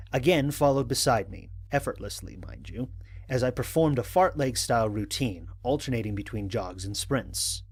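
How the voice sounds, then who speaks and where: A man plays a dry, wry astronaut captain in an audio drama. His voice is medium in pitch with a husky edge. It moves up and down expressively while keeping a measured storyteller's manner. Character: wry human astronaut captain dictating mission logs. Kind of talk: audio drama narration as log entries.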